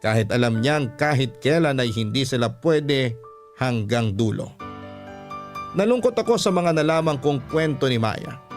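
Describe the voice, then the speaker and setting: A man narrating a story in the first person as a radio storyteller, measured and reflective. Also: medium pitch, expressive, faint music behind.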